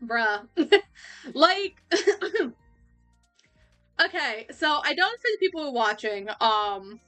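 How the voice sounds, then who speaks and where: A young woman livestreamer chatting casually, laughing often and rambling with restarts, her voice going a little hoarse. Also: high voice, very animated, slow, sharp tone, soft background music. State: losing her voice. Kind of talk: casual chat.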